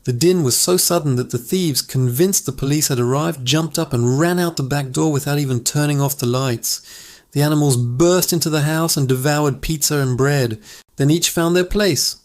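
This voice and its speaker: A man reading a children's fable aloud in calm, even scripted narration. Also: a little high, moderate pace.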